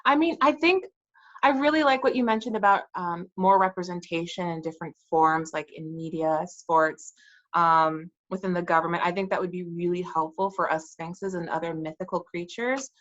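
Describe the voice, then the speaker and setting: A woman playing a calm, friendly sphinx. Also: fairly low pitch, moderate pace, highs cut off, close to an everyday voice. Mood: calm thoughtful. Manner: relaxed, conversational, many "um" and "like". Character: calm, friendly sphinx guardian, grown female. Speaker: interview guest. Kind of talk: improvised role-play for kids.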